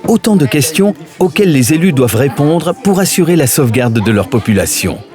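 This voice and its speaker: A man's voice: on the high side, very animated, fairly quick, a little mellow. A man reading scripted documentary narration as a voice-over. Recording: music bed under voice. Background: music.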